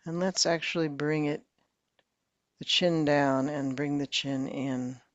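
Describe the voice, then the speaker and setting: Slow, soft-spoken woman guiding meditation. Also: deep voice, dry recording, highs cut off. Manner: slow, soft and calm, with long pauses. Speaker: meditation teacher. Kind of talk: guided meditation.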